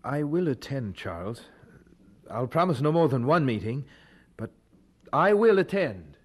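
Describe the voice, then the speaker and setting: An actor playing an earnest, troubled young university student in a radio drama, speaking formally and with some hesitation. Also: male voice, medium pitch, big pitch swings, unhurried. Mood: self-conscious, hesitant.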